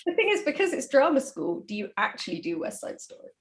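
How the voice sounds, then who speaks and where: A woman bantering casually on a panel, teasing and quick with a joke. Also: high-pitched, big pitch swings. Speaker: podcast panelist.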